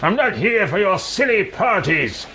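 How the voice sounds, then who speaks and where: Male gamer as arrogant fantasy villain lord: greedy, haughty, unhurried, highly animated pitch. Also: noisy background. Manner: haughty, slow, disdainful. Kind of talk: roleplay voice for a video game let's play.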